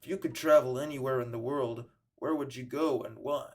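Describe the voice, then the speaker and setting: Man, student interviewer, mid-pitched voice, plain short questions. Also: steady tone, a little fast.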